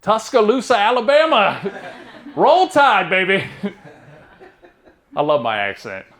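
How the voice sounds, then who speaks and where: A man in his thirties from near Baltimore with a Southern-sounding drawl, telling self-deprecating stand-up jokes in a deadpan way. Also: high voice, takes it slowly, crowd noise. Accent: Southern-sounding American drawl. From Maryland, near Baltimore. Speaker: stand-up comedian. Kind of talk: stand-up comedy set.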